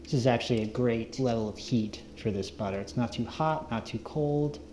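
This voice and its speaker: A man's voice: mid-range voice, moderate pace, a little mellow. A man talking casually and unhurriedly, as if narrating his own camping and cooking vlog. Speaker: found-footage vlogger, narrator. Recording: roomy echo, faint background noise.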